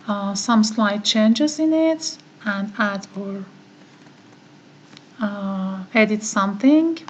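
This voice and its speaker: A woman whose first language is Farsi, giving a step-by-step online course tutorial, talking methodically and pausing on "uh" often. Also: medium pitch, highs cut off, a little hiss or hum. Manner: slow, methodical, with frequent "uh" pauses. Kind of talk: screen-recorded software tutorial.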